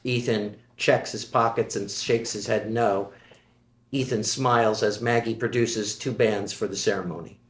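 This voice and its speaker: A man reads the scene and action lines of a screenplay in a plain, steady way. His voice sits low, and the room gives it a noticeable echo. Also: unhurried. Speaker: narrator reading stage directions.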